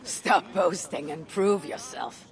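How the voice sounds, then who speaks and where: Gravelly, blunt Viking raider. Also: female voice, fairly low pitch, lively intonation, takes it slowly, full character voice. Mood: challenging. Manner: blunt, terse. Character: tough, blunt, dry-humored female Viking raider. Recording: crowd murmur behind.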